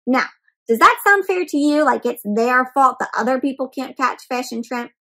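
High-pitched young woman reading to kids. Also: normal speed. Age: young adult. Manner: expressive, questioning, addresses children directly. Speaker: children's storybook read-aloud host. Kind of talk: interactive read-aloud for young children.

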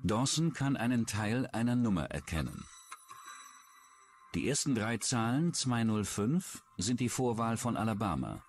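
A man's voice: on the low side, sing-song, unhurried, a little hiss or hum. A man reading scripted true-crime documentary narration.

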